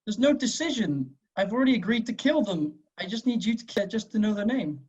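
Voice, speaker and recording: A man's voice: sits high, lively intonation, brisk, a little mellow, husky. A man playing a weary, scowling hitman in his forties at an amateur table read, terse, wary and testy. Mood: baffled. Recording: dull lo-fi voice recording.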